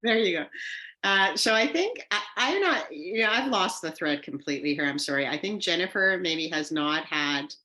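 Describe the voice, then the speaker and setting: A woman's voice: on the low side, animated, normal speed, sharp tone. A woman whose first language is Hungarian hosts a panel, chatting loosely and laughing as she steers the talk on a video call. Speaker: host. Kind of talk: casual panel hosting.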